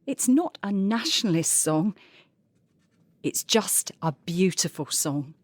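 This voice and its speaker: A woman's voice: fairly low pitch, very animated, slow pace, no room sound, exaggerated voice. An actress playing a stubborn, passionate singer standing her ground in an audio drama, insistent and defiant.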